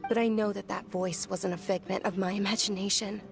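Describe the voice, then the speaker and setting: A woman voicing a gentle, earnest young woman who speaks in a soft, reflective way in a video game. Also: medium pitch, steady tone, brisk, creaky, faint music behind, lightly acted. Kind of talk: game voice acting.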